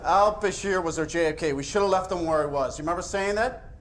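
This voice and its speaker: A man's voice: high voice, fast talker, slight background noise, natural voice. An actor playing a hostile, sarcastic male interrogator in a game cutscene who talks aggressively and swears. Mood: needling.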